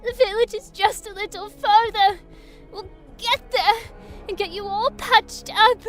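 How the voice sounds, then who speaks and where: A woman's voice: very high voice, fairly even intonation, measured pace, soft background music. A woman playing a brave, caring young girl in an audio drama, anxious and emotional. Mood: desperate, tearful. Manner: pleading, emotional. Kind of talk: fan audio drama acting.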